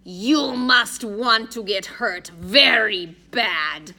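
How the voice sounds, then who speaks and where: A woman voicing a huge, slow-witted gangster with a blunt, heavy, menacing delivery. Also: medium pitch, bright, forceful tone, exaggerated voice. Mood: threatening.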